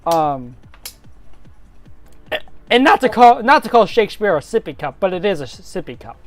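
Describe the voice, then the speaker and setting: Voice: medium pitch, very animated, moderate pace, soft background music. A narrator giving a dramatic reading of a fan story in a hammy storybook style, with casual self-aware asides.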